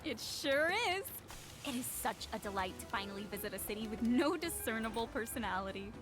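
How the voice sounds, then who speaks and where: A woman's voice: a little high, lively intonation, a little fast, over music, lightly acted. A woman voicing a chipper, slightly anxious and prim female robot driver with dry sarcasm in a video game cutscene.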